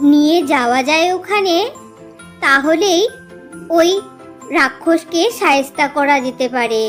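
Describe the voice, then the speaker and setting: A magical queen giving firm orders in an animated bedtime fairy tale, played by a woman, voice very high over soft music. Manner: authoritative, instructive. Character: powerful fairy queen, solemn, commanding, protective. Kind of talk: children's cartoon voice acting.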